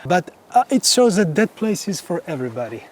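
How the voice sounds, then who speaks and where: A man whose first language is Polish, a monk explaining his monastery grounds on a tour in slow, earnest English. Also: high voice, big pitch swings, darkish tone, slight background noise. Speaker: Carmelite monk giving a tour. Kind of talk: casual guided tour conversation.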